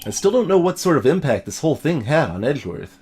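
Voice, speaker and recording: Animated man reading out game dialogue. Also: fairly high pitch, fast, breathy edge. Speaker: let's play narrator voicing game dialogue. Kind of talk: dramatic read-aloud of game script with joking asides.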